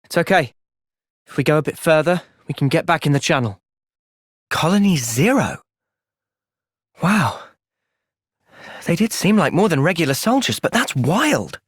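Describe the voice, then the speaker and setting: An actor playing an earnest, thoughtful young soldier in a video game, soft-spoken and sincere but resolute. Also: male voice, fairly high pitch, very animated, measured pace, long pauses, slightly rough, no room sound, clean studio sound, exaggerated voice. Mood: calm, thoughtful. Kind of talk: video game cutscene acting.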